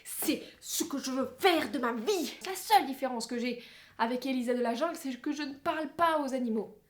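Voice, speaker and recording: Une femme à l'français qui parle face caméra comme une vlogueuse, de façon exclamative, joueuse et théâtrale. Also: female voice, sits high, a little fast, a little hiss or hum. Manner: exclamatory, playful, theatrical emphasis. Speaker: vlogger presenting a countdown. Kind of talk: animated casual chat to camera.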